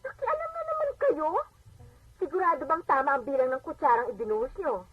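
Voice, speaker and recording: A haughty Filipino daughter and mother, speaks in a high voice with bossy exasperation. It is radio drama acting full of scolding. The audio is dull and lo-fi, like an AM playback. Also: lively intonation, a little fast. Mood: scolding, irritated. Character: haughty, irritable adult daughter and working mother.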